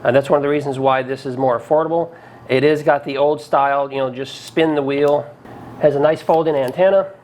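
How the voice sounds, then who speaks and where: A man's voice: fairly high pitch, steady tone, brisk, darkish tone. A man hosting a casual product review, talking in a plain-spoken, folksy, conversational way. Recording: clearly noisy background ambience.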